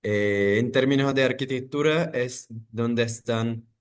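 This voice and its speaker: A man, talking in a thoughtful, halting way with many pauses and restarts as an interview guest. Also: medium pitch. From Brazil. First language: Portuguese. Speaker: architect guest. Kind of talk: interview conversation.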